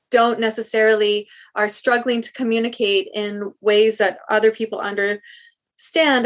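A woman's voice: medium pitch, a bit bright. A woman from South Africa, a guest in an interview, talking earnestly about her work and stopping often to rephrase. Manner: earnest, thoughtful, with many restarts. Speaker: guest, speech language pathologist. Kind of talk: interview answers.

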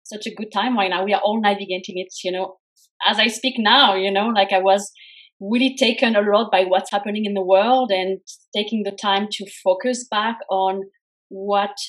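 A woman's voice: mid-range voice, steady tone, moderate pace, low-quality recording. French-accented woman telling her story. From France. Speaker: guest, career coach. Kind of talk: live interview, personal story.

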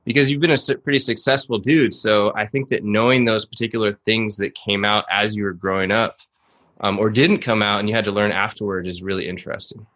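A man's voice: on the low side, fairly even intonation, brisk, fairly bright tone. A man hosting a podcast, asking questions in a friendly, laid-back way. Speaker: podcast host. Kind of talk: casual interview.

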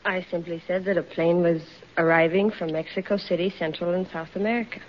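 A woman's voice: lowish voice, steady tone, a little fast. A woman answering questions as a quiz contestant, an airline announcer by job. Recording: muffled old radio, faint noise, audience.

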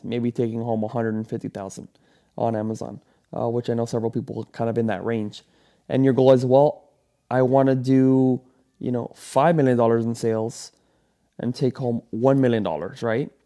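A man's voice: medium pitch, fairly even intonation, normal speed, dark tone. Rambling man. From Venezuela. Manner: rambling, filler-heavy, earnest coaching. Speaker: podcast host. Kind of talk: solo motivational podcast talk.

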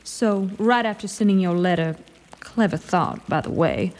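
A woman's voice: on the low side, measured pace, a little mellow. A woman playing an elf lord commander in an audio drama, formal and falsely sweet, with sly menace under mock kindness. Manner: mock-kindly, formal, sly, drawling emphasis.

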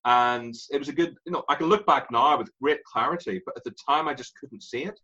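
A man in his forties with an Irish accent, a guest telling his story in an animated way and laughing through it. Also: mid-range voice, a little fast, husky, dull audio. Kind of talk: candid interview storytelling.

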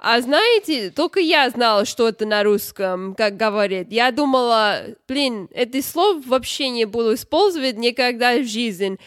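High-voiced woman. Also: expressive, dry recording, studio quality. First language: not Russian, speaks it as a learned language. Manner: halting, searching for words in a learned language. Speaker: podcast co-host. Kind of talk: casual podcast chat.

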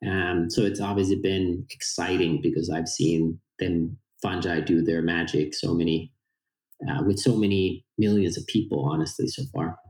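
A man with a Finnish accent and a lowish voice talks earnestly about his work, pausing on fillers as he explains. He is in his forties. The room around him has a clear echo. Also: steady tone, a little mellow. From Finland. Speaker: guest, company founder. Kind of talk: casual interview talk.

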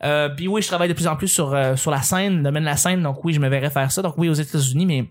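A man with a Quebec French accent who hosts the podcast and asks the guests questions in a relaxed, hesitant way. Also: a little high, quick pace. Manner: easygoing, hesitant, many "euh" fillers. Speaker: podcast host. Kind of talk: casual podcast chat.

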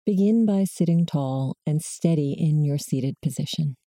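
A woman guiding a body scan meditation in slow, measured short prompts with long pauses between them. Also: sits low, warm tone, no room sound, studio quality. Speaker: meditation guide.

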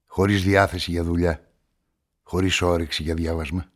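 A deep-voiced, brooding male narrator. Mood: brooding, subdued. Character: brooding, solitary male newspaperman narrating a murder story. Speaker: narrator and protagonist of a radio play. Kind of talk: literary first-person radio drama narration.

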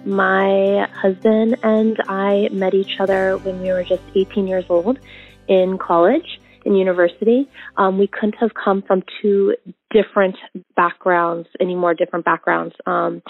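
A woman in her thirties from Indonesia, telling her love story in a casual, reflective way with many ums and pauses. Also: medium pitch, fairly even intonation, creaky, dry recording, soft background music. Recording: tinny phone-like audio.

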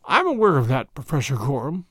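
A man voicing a calm, confident, courteous detective who speaks in measured, assertive statements in a storybook reading. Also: mid-range voice, breathy edge, no room sound, studio quality, pitched below the performer's own voice. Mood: composed.

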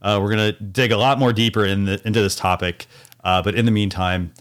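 A man's voice: on the low side, fairly even intonation, brisk, a bit bright. A man hosting a podcast, giving advice in a casual, filler-heavy way. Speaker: podcast host.

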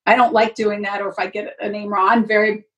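A woman's voice: mid-range voice, little pitch movement, fast, fairly bright tone, lo-fi sound. A woman speaking candidly and emphatically as a guest in a casual interview; she works as a sports announcer. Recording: voice distant from the mic.

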